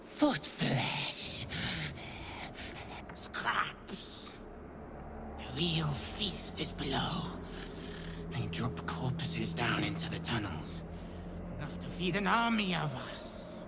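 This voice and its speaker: A woman voicing a starving, greedy, flesh-hungry monster in a fantasy game, croaking and drawing out words with menace. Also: low voice, big pitch swings, drawn-out words, long pauses, heavy background noise, heavily acted. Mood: hungry, menacing. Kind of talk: fantasy game creature dialogue.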